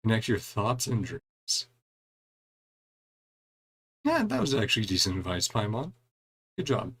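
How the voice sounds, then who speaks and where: A man's voice: lowish voice, huge pitch swings, a little slow, a bit bright, husky. A man giving casual gameplay commentary, reading game dialogue aloud and adding wry, chuckling reactions in a laid-back way. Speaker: gaming streamer doing a playthrough.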